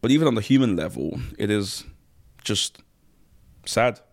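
A young man, raised there after coming from Nigeria, chatting as a podcast co-host, thinking aloud with restarts and sudden rises in pitch when he makes a point. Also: lively intonation, normal speed, creaky, no echo. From Nigeria, raised in South East London. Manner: thoughtful, restarts often, pitch jumps when making a point. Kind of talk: casual chat.

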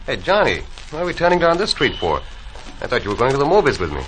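A fast-talking grumbling schoolboy pal. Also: male voice, mid-range voice, wildly swooping pitch, a bit bright, low-quality recording. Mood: impatient. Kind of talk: 1940s radio drama acting. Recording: vintage 1940s radio, music behind.

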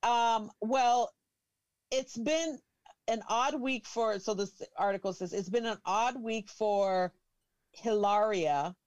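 A woman's voice: on the high side, slow, a little mellow, muffled recording. A woman hosting a casual chat, reading an article aloud, amused, stumbling over names. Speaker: host.

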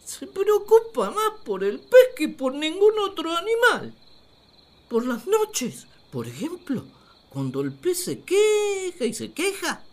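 A woman plays a naive, puzzled first man in a radio play, full of his own importance. The delivery is slow and swings very widely in pitch. He ponders like an amateur naturalist, pompous and perplexed. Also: exaggerated voice. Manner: theatrical, perplexed, pompous musing. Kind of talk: radio drama diary monologue.

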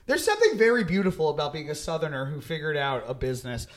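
A man with a high-pitched voice hosts a loose comedy podcast. He rambles through long stories, trips over his words and laughs at his own punchlines. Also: sing-song, quick pace. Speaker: podcast host. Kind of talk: casual comedic chat.

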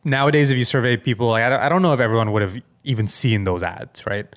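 A man's voice: medium pitch, fast talker. A man co-hosting a podcast in a casual, rambling tech chat, informal and full of loose asides. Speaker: podcast co-host.

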